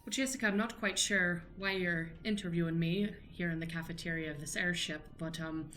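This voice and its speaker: A woman's voice: on the low side, steady tone, brisk, fairly bright tone, faint music behind, lightly acted. A woman playing a folksy, cheery flower shop owner in an improvised radio play, gushing with old-fashioned turns of phrase.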